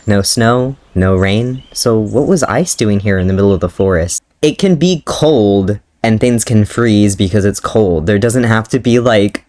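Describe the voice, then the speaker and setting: A man's voice: on the low side, a little slow, a little mellow. Amused man reading his old fanfic. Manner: amused, self-mocking, often laughing. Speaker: fanfiction reader and commentator. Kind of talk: dramatic reading with comic commentary.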